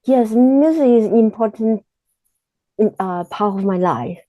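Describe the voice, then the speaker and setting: A woman with a Cantonese accent speaks slow, broken English, pausing often to find her words. Her voice is soft and warm with a mellow tone. The sound is dry and close, with no room echo. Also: medium pitch. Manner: halting, searching for words in simple English. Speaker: exam candidate. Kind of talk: mock speaking exam answers.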